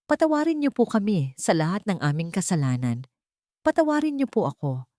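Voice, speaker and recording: A woman voicing a grieving young male billionaire heir in an audio drama, speaking in a hushed, breaking voice. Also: medium pitch, very animated, brisk, no echo, clean studio sound, natural voice.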